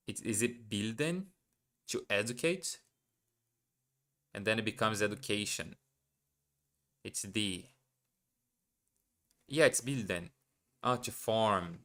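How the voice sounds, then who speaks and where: A man who grew up speaking Portuguese talks slowly to himself as he works through new words, stopping often to correct himself. His voice is clear and smooth. It sits a little low in pitch but has a bright, forward tone. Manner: hesitant, self-correcting, muttering to himself. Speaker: language learner streaming live. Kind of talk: thinking aloud while studying.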